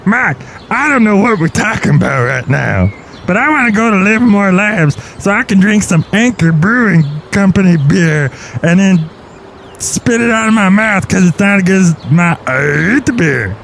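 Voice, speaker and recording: A man's voice: high-pitched, lively intonation, moderate pace, gravelly, a bit bright, a little hiss or hum, voice pushed up, exaggerated voice. A man voicing a scatterbrained, boastful male brewmaster in a comedy podcast sketch. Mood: goofy. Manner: rambling, oblivious.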